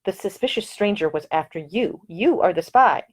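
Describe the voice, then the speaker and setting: A woman voicing a spirited, independent young woman perfumer, talking in a brisk, earnest and self-assured way in audiobook dialogue. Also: medium pitch, lively intonation, close to an everyday voice. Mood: teasing.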